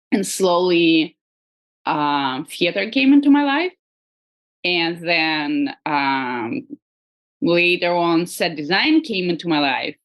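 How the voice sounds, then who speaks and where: A woman with a Russian accent, speaking as an artist guest in a casual interview, hesitant with frequent pauses and fillers. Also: low-pitched. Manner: hesitant, many ums and uhs, laughs lightly.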